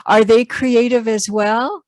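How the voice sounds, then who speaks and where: Woman, podcast host, slow measured talk, effusive praise, dry close sound. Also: a little high, fairly even intonation, darkish tone, no room sound. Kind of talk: interviewing a guest.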